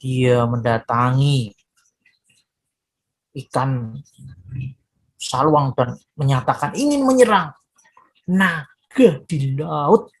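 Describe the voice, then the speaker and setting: A woman storyteller narrating a children's folktale expressively, with deliberate, drawn-out dramatic phrasing. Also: extremely low pitch, breathy edge, low-quality recording.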